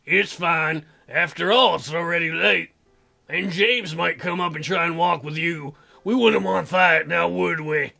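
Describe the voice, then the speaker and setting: A man's voice: fairly high pitch, unhurried, creaky, sharp tone, pitched below the performer's own voice, heavily acted. A man voicing a smug, teasing character in a visual novel game.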